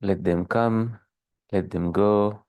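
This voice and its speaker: Slow, low-voiced man guiding meditation. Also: no echo. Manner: calm, measured, with long pauses between short phrases. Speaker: meditation teacher leading a livestream. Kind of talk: guided meditation instruction.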